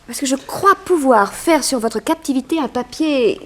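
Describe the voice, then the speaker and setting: An actress in a 1960s French radio detective drama playing a poised, quick-witted woman journalist, gracious and slyly innocent. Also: high voice, a bit bright.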